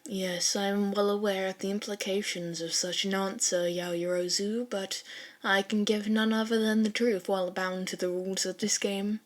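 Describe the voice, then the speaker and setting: A woman's voice: mid-range voice, little pitch movement, normal speed, close to an everyday voice. A woman voicing a solemn, cryptic teenage boy who speaks in formal, stilted, archaic phrases in a storybook reading.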